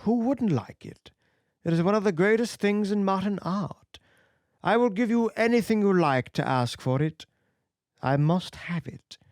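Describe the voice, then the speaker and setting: A man reading an audiobook, voicing a witty, cynical aristocratic gentleman with a lofty, assured manner. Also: high-pitched, lively intonation, unhurried, no echo, studio quality, pitched above the performer's own voice. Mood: eager.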